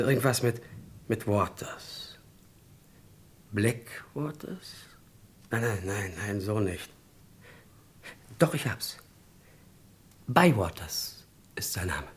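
An actor playing a hesitant yet gossipy witness in a radio crime drama, halting as he searches for words. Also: on the low side, husky, exaggerated voice. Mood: searching memory.

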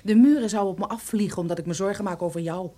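A woman's voice: medium pitch, racing, warm tone, lightly acted. An actress playing a detective's teasing, caring female companion in a radio drama, breezy in manner.

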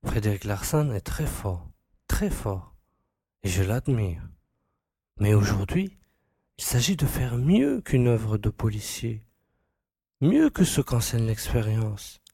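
A man's voice: on the low side, big pitch swings, takes it slowly, gravelly, long pauses, warm tone, husky, no echo, clean studio sound, exaggerated voice. A man playing a keen, self-assured young reporter turned sleuth, emphatic and declarative in an audiobook drama. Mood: murmuring, intense. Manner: emphatic, repeating words, declarative.

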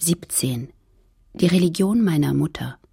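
A woman playing a detached announcer who reads out headings tersely and deliberately in a radio play. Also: low-pitched, vocal fry, mellow, husky, heavily acted.

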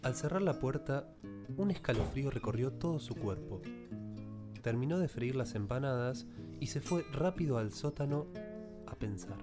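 A man's mid-pitched voice narrates a radio play. The delivery is scripted literary storytelling, with plucked guitar music playing clearly behind him. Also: expressive, moderate pace.

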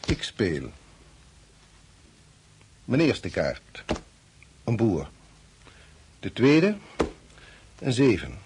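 A formal, unflappable card dealer in a radio play. He speaks slowly and courteously, with very wide swings of pitch. Light noise sits on the old recording. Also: huge pitch swings, a little mellow, full character voice. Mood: formal, controlled. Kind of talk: Dutch radio drama acting.

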